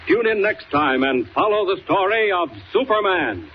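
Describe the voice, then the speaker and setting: A man's voice: high voice, animated, normal speed. A man narrating a 1940s radio serial as a grand, theatrical announcer. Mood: ominous. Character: solemn, dramatic male radio serial announcer. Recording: hissy, dull old radio transcription.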